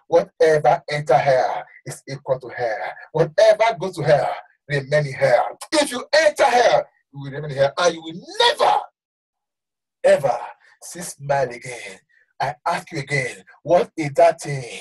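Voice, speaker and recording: A man preaching a sermon with fervor, shouting one moment and dropping to hushed warnings the next. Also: high voice, slightly rough. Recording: voice from far off the mic.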